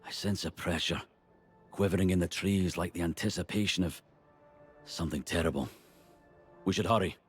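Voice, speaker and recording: A man playing a grave, earnest druid elder in a fantasy video game, with a husky voice and a solemn, formal manner. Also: sits low, normal speed, long pauses, soft background music. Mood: uneasy. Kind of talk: video game character dialogue.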